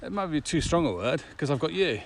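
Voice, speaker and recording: A man's voice: on the high side, big pitch swings, a little fast. A man, chatting casually as a podcast co-host. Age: 50s. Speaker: podcast co-host, business owner. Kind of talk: casual conversational podcast chat. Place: outdoors at dusk. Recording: clearly noisy outdoor background.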